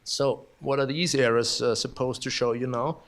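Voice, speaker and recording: A man's voice: mid-range voice, fairly even intonation, a bit bright. Austrian-accented man giving a conference keynote. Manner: halting, many restarts and fillers, self-deprecating humor. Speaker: keynote speaker. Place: conference hall.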